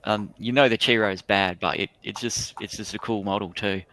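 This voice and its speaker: A man's voice: on the low side, fairly even intonation, normal speed, bright, forceful tone, a little hiss or hum. A man speaking casually as a panelist in a hobby discussion.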